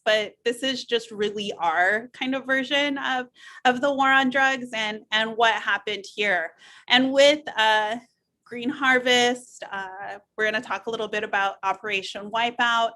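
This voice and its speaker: A woman's voice: fairly high pitch, pushed and bright. A middle-aged woman from Missouri, a nurse practitioner giving a loose, chatty webinar talk full of fillers and restarts. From United States (Missouri). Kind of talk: webinar presentation with slides.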